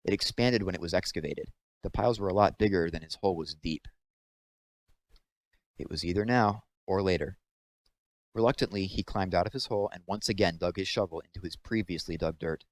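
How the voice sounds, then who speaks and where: A man's voice: low-pitched, a little fast. A man narrating a children's novel as a read-aloud, in scripted storytelling style.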